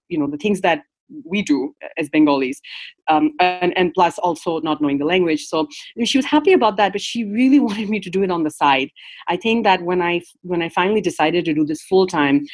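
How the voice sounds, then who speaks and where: A middle-aged woman from Bangladesh, speaking in a hesitant, conversational interview with many fillers and soft laughs. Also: on the low side, dry recording. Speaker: children's book publisher.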